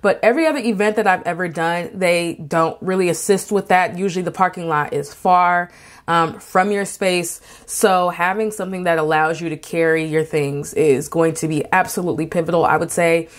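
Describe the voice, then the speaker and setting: A woman's voice: fairly low pitch, normal speed. A woman who is an artist, talking casually in a vlog with many fillers and restarts, giving emphatic advice. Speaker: artist and craft vendor.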